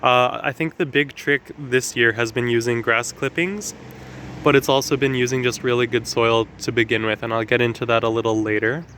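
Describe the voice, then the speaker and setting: A man with a slightly raspy voice from allergies, talking casually and explaining things with lots of fillers as he walks through his garden. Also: medium pitch, steady tone, moderate pace, fairly bright tone, a little hiss or hum. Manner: chatty, explanatory, with frequent fillers. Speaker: gardening vlogger. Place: outdoor garden.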